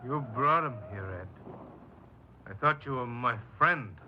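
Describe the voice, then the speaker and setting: An actor playing a bitter, paranoid killer giving a halting confession in a 1950s radio crime drama. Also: male voice, mid-range voice, expressive, close to an everyday voice. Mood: bitter menace. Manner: halting, brooding, resigned confessional delivery. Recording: dull, noisy 1950s radio recording.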